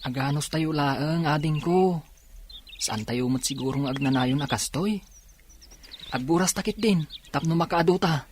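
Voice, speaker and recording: A male actor plays a hardworking elder brother from a poor village in a radio drama. His voice sits a little high for a man. He is sincere, dutiful and respectful. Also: measured pace, long pauses, a little hiss or hum, natural voice. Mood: patient. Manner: earnest, respectful.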